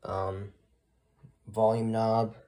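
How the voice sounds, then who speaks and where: Man, hobbyist vlogger, casual showcase, halting, rambling, unscripted. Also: lowish voice, level tone, dark tone. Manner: hesitant, halting, rambling delivery. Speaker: hobbyist vlogger showing a weather radio collection.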